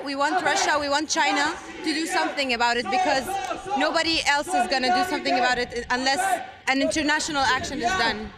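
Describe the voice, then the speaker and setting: A woman from Syria at a protest, speaking forcefully to an interviewer. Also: high-pitched. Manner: forceful, declaiming. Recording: loud rally crowd behind.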